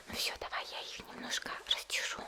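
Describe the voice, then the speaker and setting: A sweet, chatty young anime woman voiced by a woman speaks in a soft, breathy whisper. She is gentle and cooing, taking her time in an intimate ASMR hair-salon roleplay. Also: brisk, natural voice. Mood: tender, doting.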